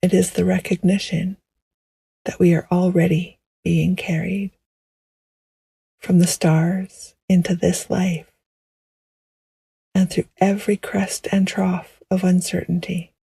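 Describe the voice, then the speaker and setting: A woman guiding a meditation in a gentle, hushed, poetic style, with a soft, breathy voice that often nears a whisper. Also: on the low side, little pitch movement, no echo. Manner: gentle, hushed, unhurried, soothing. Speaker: meditation guide. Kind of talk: slow poetic guided meditation narration.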